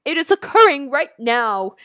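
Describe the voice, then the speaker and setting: High-voiced young woman reading aloud. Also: normal speed, no echo. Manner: chatty, expressive, giggly asides. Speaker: YouTuber reading and reacting to fan fiction. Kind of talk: casual read-aloud with reactions and asides.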